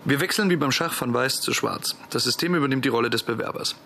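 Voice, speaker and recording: An actor in a radio drama playing an unruffled software developer with a brisk, matter-of-fact manner. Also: male voice, medium pitch, vocal fry, slight background noise.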